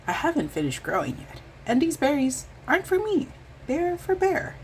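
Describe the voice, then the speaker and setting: A woman's voice: sits high, wildly swooping pitch, moderate pace, a little hiss or hum, pitched above the performer's own voice, low-quality recording. A woman voicing a small, stubborn, kind-hearted storybook mouse with an earnest, emphatic manner. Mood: indignant, insistent.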